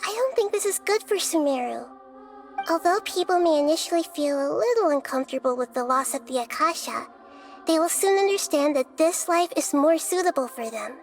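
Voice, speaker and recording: A woman voicing a small, childlike but wise goddess in a video game, speaking in a gentle, measured, formal way. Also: high voice, expressive, soft background music. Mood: thoughtful, earnest.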